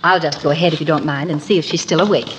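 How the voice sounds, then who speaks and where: An actress playing a polite, composed, kindly orphanage nurse in 1940s radio comedy, on a noisy old recording. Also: female voice, low-pitched, steady tone, fast talker, lightly acted. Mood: courteous. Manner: polite, gentle, efficient.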